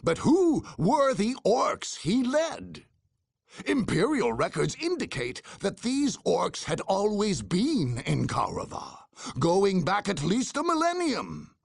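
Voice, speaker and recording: An actor narrating video game cutscenes as a grave, theatrical chronicler of far-future war, in a husky, gravelly voice with deliberate delivery. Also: male voice, high-pitched, wildly swooping pitch, dry recording, clean studio sound, heavily acted. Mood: solemn, dramatic.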